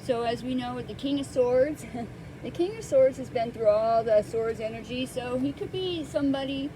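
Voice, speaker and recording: A woman with a fairly high voice talks through a tarot reading, soft-spoken and halting. She sits close to the microphone. Steady background noise and passing traffic are heard behind her. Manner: soft-spoken, hesitant with many fillers. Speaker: tarot card reader. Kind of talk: casual tarot reading to camera.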